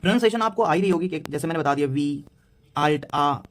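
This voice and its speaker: A nineteen-year-old man with an Indian accent, teaching a beginner German lesson in a mix of Hindi and English, halting and repeating himself with many fillers. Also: fairly high pitch. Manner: slow, halting delivery with many fillers and repetitions.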